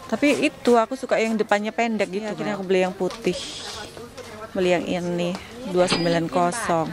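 A woman's voice: fairly low pitch, fairly even intonation, a little fast. A woman in her thirties with an Indonesian accent, chatting casually as a vlogger, slangy and exclaiming, mixing in English words. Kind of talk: casual shopping vlog chat. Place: indoor clothing shops in a busy mall.

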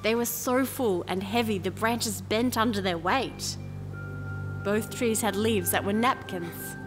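A woman's voice: medium pitch, measured pace, close to an everyday voice, poor audio. A young woman playing a plucky, flippant princess in an audio drama, speaking in a chatty, wry, informal way. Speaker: lead actor and narrator. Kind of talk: audio drama, first-person narration and dialogue. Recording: music under the voice.

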